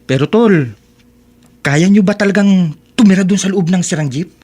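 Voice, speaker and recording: A Filipino actor playing a loyal, concerned teenage best friend who speaks cautioningly in a radio drama. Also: male voice, high-pitched, moderate pace, dark tone, slightly rough. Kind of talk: Tagalog radio drama acting.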